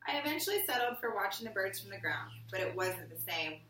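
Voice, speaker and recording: A woman teacher reading a picture book aloud to her class in a deliberate storytelling way and prompting pupils with questions. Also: mid-range voice, very animated, a bit bright. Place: indoor classroom. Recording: roomy classroom, distant mic, faint noise.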